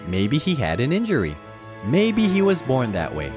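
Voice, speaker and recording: A man playing a gentle, patient ape papa who reads to his child in an expressive, gently instructive way. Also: mid-range voice, moderate pace, over music. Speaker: storybook reader. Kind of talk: animated children's read-aloud.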